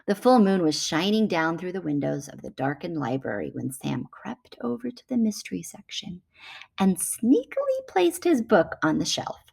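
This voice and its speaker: A woman reading a children's picture book aloud in an animated storytelling manner. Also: lowish voice, moderate pace, gravelly, husky.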